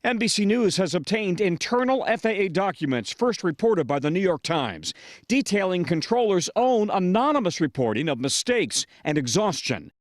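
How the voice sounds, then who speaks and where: An American man reports the news in a high-pitched voice. His scripted correspondent delivery moves widely up and down in pitch. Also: big pitch swings, normal speed, no echo, clean studio sound.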